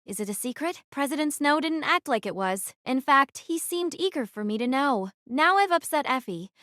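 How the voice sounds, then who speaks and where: A woman's voice: high-pitched, animated, normal speed, a bit bright, no room sound, studio quality. A woman playing a defiant, brooding teenage girl who narrates her own story in an audio drama. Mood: uneasy. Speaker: narrator and lead actress.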